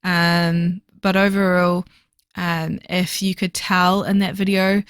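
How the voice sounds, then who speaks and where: A woman recording a casual voiceover for her reading journal video, chatty and fast-talking with lots of fillers, her voice slightly hoarse. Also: fairly low pitch, little pitch movement, no room sound, studio quality. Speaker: book vlogger.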